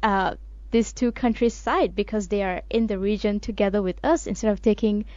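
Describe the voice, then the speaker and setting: A woman's voice: mid-range voice, fairly even intonation, a little mellow, no room sound, muffled recording. Hesitant woman discussing current affairs. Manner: thoughtful, hesitant with frequent "uh" pauses. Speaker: radio show co-host.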